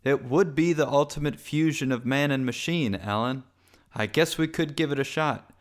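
A man's voice: medium pitch, normal speed, lightly acted. A man playing an easygoing, hesitant human musician in a radio drama, with a folksy way of talking. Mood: hesitant, agreeable.

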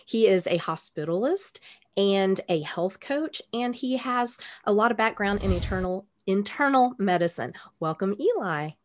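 A woman's voice: mid-range voice, lively intonation, normal speed, slight background noise. A woman hosting a podcast interview, talking enthusiastically and repeating words. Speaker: podcast host. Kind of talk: casual interview.

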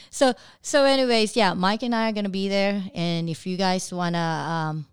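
A woman's voice: medium pitch, unhurried, smooth, no room sound, clean studio sound. A woman with a Filipino accent hosting a casual podcast chat with her husband, talking in a chatty way with many fillers and frequent laughs. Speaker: podcast host.

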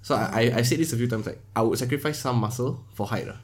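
A man's voice: mid-range voice, fast. A man in his twenties with a Singaporean accent, hosting a casual chat in a colloquial, joking way. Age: 20s. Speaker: podcast host.

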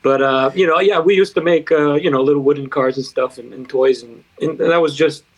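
A man's voice: a little high, a little fast, low-quality recording. Man casually telling his story in an interview. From Bulgaria, later United States. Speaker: car designer, interview guest.

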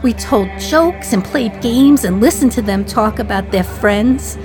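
A woman's voice: a little high, lively intonation, a little slow, darkish tone. Wry, wistful condemned mother over music. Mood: wistful, subdued. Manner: wry, confiding, sardonic gallows humour. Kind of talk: dramatic radio play monologue.

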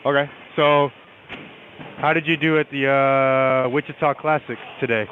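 A man's voice: mid-range voice, fairly even intonation, a little slow. A man working at a bowling alley, answering a customer call in a polite, patient, hesitant way. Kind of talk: customer service phone call. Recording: noisy narrow tinny phone line.

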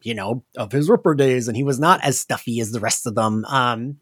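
A man's voice: mid-range voice, a little fast, slightly rough. A man co-hosting a podcast, recapping and discussing a story in an informal, joking way. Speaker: podcast co-host.

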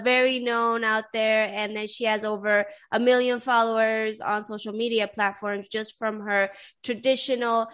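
A woman's voice: on the high side, bright, forceful tone. A young woman from Sweden hosting an interview show in a chatty, casual way, full of "like" and "you know". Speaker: podcast host.

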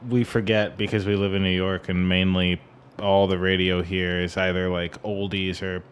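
A young American man co-hosting a podcast, talking casually about music in a halting, hedging way. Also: low-pitched, flat delivery, slight background noise. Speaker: podcast co-host.

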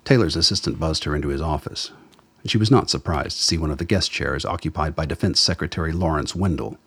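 A man's voice: fast, fairly bright tone. A man reading scripted dramatic narration as the storyteller of a radio play. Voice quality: very deep, husky.

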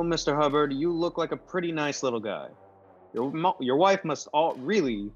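An actor playing an affable, tough-talking movie gangster star in an old-time radio comedy, with slangy, stammering speech. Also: male voice, medium pitch, breathy edge. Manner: slangy, clipped, hesitant stammers. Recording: dull lo-fi audio with music behind.

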